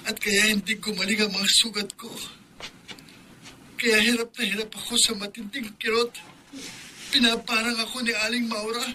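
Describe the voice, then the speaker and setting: A man's voice: high-pitched, flat delivery, normal speed, long pauses, sharp tone, slight background noise, poor audio. An actor in a radio drama playing a guilt-ridden young man who talks in a pained, remorseful way.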